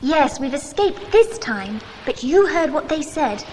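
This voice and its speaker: A woman's voice: high voice, big pitch swings, unhurried, darkish tone, slight background noise. A woman playing a practical, cautious schoolgirl adventurer in a children's audio drama. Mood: reassuring.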